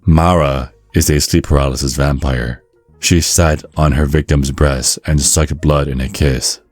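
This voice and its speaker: A man reading scripted ASMR narration in a measured, storytelling manner. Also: a bass voice, steady tone, no echo, soft background music.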